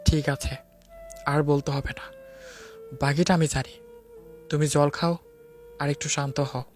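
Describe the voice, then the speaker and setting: A man's voice: a little high, little pitch movement, unhurried, faint music behind, lightly acted. Man acting a stern, protective police officer. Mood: reassuring. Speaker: narrator and lead actor. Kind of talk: melodramatic audio story acting.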